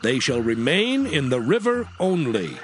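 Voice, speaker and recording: A man's voice: mid-range voice, very animated, measured pace, slight background noise. A man playing a stern, commanding prophet, declaiming solemn warnings in a dramatized scripture recording.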